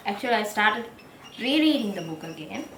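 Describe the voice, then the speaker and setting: A woman's voice: mid-range voice, expressive, fairly quick, fairly bright tone, slight background noise. Sri Lankan woman vlogging in echoey room. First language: Sinhala. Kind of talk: casual talk to camera.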